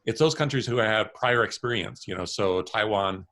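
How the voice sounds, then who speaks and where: Low-voiced man, conversational panelist. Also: moderate pace, fairly bright tone. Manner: conversational, repeats words, uses "you know" and "I mean". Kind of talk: panel discussion.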